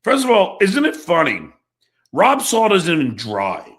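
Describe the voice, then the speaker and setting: A man's voice: sits high, huge pitch swings, a little slow, vocal fry, fairly bright tone. A man hosting a casual talk show, rambling and ranting with lots of swearing and repetition. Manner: foul-mouthed, rambling, repeats himself. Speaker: show host.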